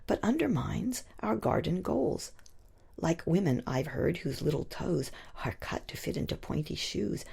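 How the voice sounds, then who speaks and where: A woman poet reciting her own verse expressively, with a husky, breathy, gravelly voice and theatrical, deliberate emphasis on the rhymes. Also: on the low side, a little mellow. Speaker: poet reading own work.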